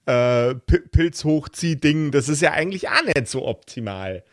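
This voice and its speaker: Guy with slightly high voice chatting on a podcast, stumbles over words, really dry recording. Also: lively intonation, no room sound. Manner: halting, searching for words, repeats word starts. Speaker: game master of an actual-play role-playing podcast. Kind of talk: casual podcast chat.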